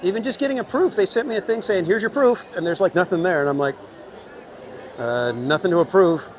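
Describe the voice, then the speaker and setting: A man's voice: high-pitched, brisk. A man hosting a casual live stream outdoors, chatting and joking with viewers and reading their names. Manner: chatty, joking, reads chat names aloud. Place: outdoors in a crowded theme park. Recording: outdoor with loud crowd.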